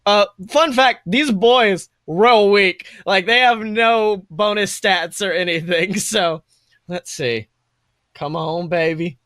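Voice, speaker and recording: A man's voice: sits high, slow, fairly bright tone, dry recording, clean studio sound. A young man casually narrating a tabletop game as game master, playful, exclamatory and sweary. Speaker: game master and podcast host.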